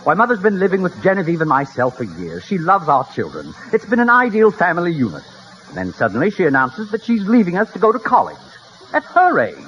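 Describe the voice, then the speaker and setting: A pompous, huffy middle-aged man of radio comedy, exasperated and stuffy. His highish voice swoops dramatically up and down, on a muffled old recording. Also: huge pitch swings, a little fast, fairly bright tone, a little hiss or hum, heavily acted. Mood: indignant. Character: stuffy, indignant middle-aged son fearing public ridicule. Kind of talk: 1950s radio comedy acting.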